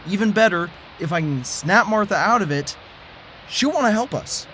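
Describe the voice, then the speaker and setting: A man's voice: high-pitched, expressive, normal speed, sharp tone. A man playing a tired, determined former conspiracy theorist, earnest in delivery, with a noisy background. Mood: eager. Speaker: lead character.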